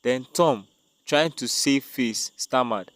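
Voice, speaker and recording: A man's voice: medium pitch, takes it slowly, fairly bright tone, no echo, clean studio sound. A man narrating a dramatic scripted tale as a storyteller.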